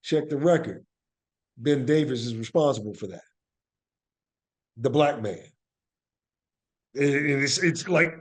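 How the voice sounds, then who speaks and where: An American man speaking as an interview guest, telling stories in an animated, emphatic conversational way and often checking that the listener follows. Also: fairly high pitch. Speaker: guest, actor and playwright.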